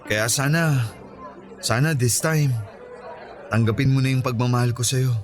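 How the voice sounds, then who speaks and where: A man's voice: medium pitch, normal speed, lightly acted. A man acting a teasing, cocky young man in love with an old friend, in a radio romance drama. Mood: earnest, lovestruck. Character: Ronnie, teasing, cocky, lovestruck young male childhood friend. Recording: background music and crowd chatter.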